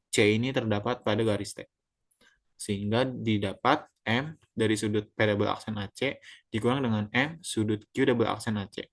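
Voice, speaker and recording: A young man from Indonesia giving a class presentation of a geometry proof, halting, with many fillers and self-corrections. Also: fairly low pitch. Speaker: student presenter.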